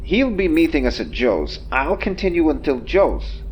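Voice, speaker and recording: A man's voice: a little high, very animated, moderate pace, dull audio, slight background noise. A man playing a nervous, theatrical starship engineer in a sci-fi audio drama. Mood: reassuring. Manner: theatrical, sprinkles in Spanish exclamations.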